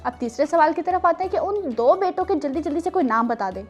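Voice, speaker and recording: A woman's voice: very high-pitched, expressive, quick pace, lo-fi sound, loud music behind the voice. A woman hosting a children's show, telling stories and chatting with a teacherly, teasing manner and plenty of questions. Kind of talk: storytelling and playful chat with children.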